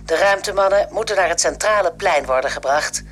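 Woman acting as official space traffic controller, slightly low voice, formal, brisk, radio play, faint music. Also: natural voice. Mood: official, impersonal. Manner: formal, procedural. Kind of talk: science-fiction radio drama acting.

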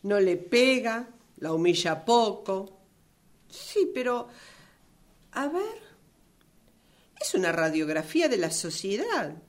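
Flustered Argentine woman novelist, played by an actress, slow-paced. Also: medium pitch, sing-song. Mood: defensive, flustered. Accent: Argentine Rioplatense. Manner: hesitant, stammering, protesting. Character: flustered, defensive woman novelist facing her own character. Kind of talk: radio play rehearsal acting.

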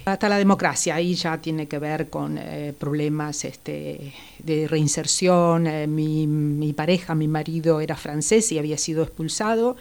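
A woman's voice: low-pitched, fairly even intonation, a little slow, vocal fry, a little mellow. Older woman, reflective and hesitant. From Italy. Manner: reflective, hesitant with frequent fillers. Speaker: psychologist guest. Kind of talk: interview, personal recollection.

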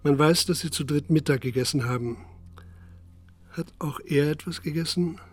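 An actor playing a sober, restrained narrator in a scripted radio drama. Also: male voice, fairly high pitch, normal speed, slightly rough. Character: sober male narrator chronicling a crime.

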